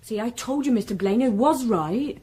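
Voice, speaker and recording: An actress playing an anxious, dutiful young Victorian housemaid with a British accent, deferential and breathless, in a period radio drama. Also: female voice, fairly high pitch, animated, normal speed, dark tone, slightly rough. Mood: anxious, insistent.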